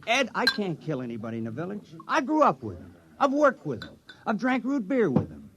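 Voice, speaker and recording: A man's voice: high-pitched, wildly swooping pitch, fairly quick, crowd noise, heavily acted. An actor playing a timid village man who hesitates and stammers in a 1950s radio drama. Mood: pleading. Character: timid young village man made town criminal. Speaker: town criminal.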